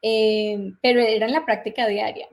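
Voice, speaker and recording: A young woman from Colombia with a Colombian accent, a guest in a casual interview, telling stories in a lively way and acting out remembered dialogue. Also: a little high, moderate pace.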